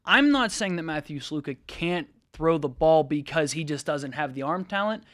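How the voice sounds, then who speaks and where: A man co-hosting a sports talk show in a studio, breaking down plays in a measured, analytical way. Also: a little high, animated, fairly bright tone, dry recording.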